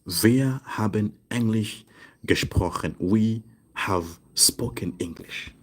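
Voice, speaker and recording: Slow husky male German tutor. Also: lowish voice. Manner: slow, repeats phrases word by word, asks "right?" often. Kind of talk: online language lesson, writing on cards. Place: desk indoors.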